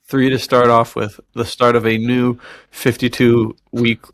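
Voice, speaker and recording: A man's voice: mid-range voice, level tone, measured pace, no echo, clean studio sound. A man co-hosting a podcast in casual chat, with a slangy, bro-ish way of talking. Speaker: podcast co-host.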